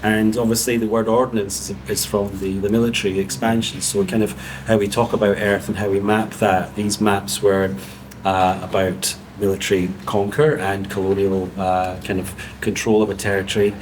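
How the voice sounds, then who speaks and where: A man's voice: fairly low pitch, little pitch movement, moderate pace, few pauses, a little hiss or hum, rough recording. A man on a panel, talking steadily with many fillers. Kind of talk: panel discussion.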